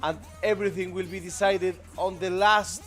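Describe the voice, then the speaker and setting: A man from Argentina with an Argentine Spanish accent, co-commentating live esports in halting, enthusiastic English. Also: high-pitched, fairly even intonation, fairly bright tone, faint music behind.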